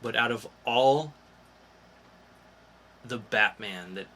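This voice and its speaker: Bright-voiced man chatting as podcast host. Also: mid-range voice, takes it slowly. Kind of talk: casual geek news chat.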